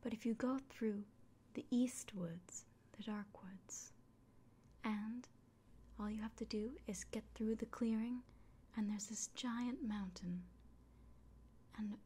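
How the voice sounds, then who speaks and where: A woman playing a kindly village innkeeper in a fantasy ASMR roleplay, speaking in a soft, breathy whisper with gentle, hesitant pauses. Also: mid-range voice, fairly even intonation, close to an everyday voice. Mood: earnest, encouraging. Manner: gentle, unhurried, hesitant pauses, fussing over the listener. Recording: close soft recording with clear background noise.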